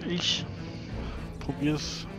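A man chatting casually as a player in a tabletop role-playing game. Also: drawn-out words. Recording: music bed behind voice. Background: background music.

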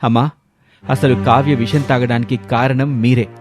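A man acting as a devoted young husband in a storybook drama, earnest and distressed. His mid-pitched voice pleads and defends over background music. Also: steady tone, normal speed, darkish tone, close to an everyday voice. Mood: accusing.

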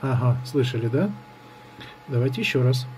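A man's voice: medium pitch, expressive, moderate pace. A man giving a casual hands-on product review, improvising hesitantly and thinking aloud as he goes. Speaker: gadget reviewer. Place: indoors at a table in an apartment. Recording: clear background noise on home recording.

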